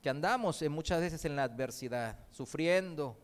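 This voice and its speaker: A male preacher in a church, a native Korean speaker whose Spanish carries an accent learned in Argentina and mixed with Mexican. His voice sits high and sounds smooth and resonant. He preaches in a lively way, throwing rhetorical questions and light jokes to the congregation. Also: normal speed. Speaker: visiting preacher. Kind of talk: sermon.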